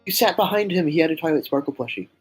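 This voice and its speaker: A man's voice: on the high side, expressive, fast talker, slightly rough. A man co-hosting a livestream in casual banter. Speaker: livestream co-host. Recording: music playing behind the voice.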